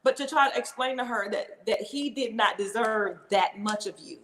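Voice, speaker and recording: A woman's voice: a little high, normal speed, fairly bright tone, a little hiss or hum. A middle-aged woman hosting a casual talk show, speaking frankly and reflectively about her parenting, with easy laughter. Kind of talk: casual candid conversation.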